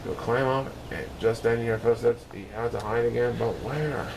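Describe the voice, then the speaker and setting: A man reading a children's picture book aloud in an expressive, dramatic way, occasionally stumbling over words. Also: mid-range voice, a little fast, creaky, background noise. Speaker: storybook reader, show host.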